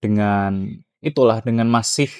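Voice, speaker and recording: A man's voice: on the low side, unhurried, darkish tone, smooth, no room sound. A young man from Cilacap with a Banyumasan Javanese Ngapak accent, a student guest talking in an easygoing, rambling way, mixing slang with Javanese. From Cilacap, Central Java. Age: young adult. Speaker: podcast guest, university student. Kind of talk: casual podcast chat.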